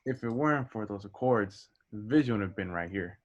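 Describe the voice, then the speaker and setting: A man's voice: on the low side, animated, brisk, natural voice. A man playing a dry, defiant military colonel in a table read. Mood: dry defiance. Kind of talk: amateur table read of a film script. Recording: dull, lo-fi audio.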